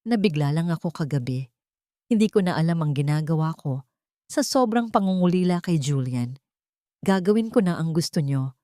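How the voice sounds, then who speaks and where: A woman's voice: low voice, animated, moderate pace, dark tone, no room sound, clean studio sound. A woman voicing a meek, apologetic daughter-in-law who feigns submission, pleading and subdued, in an audio drama. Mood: pleading, submissive.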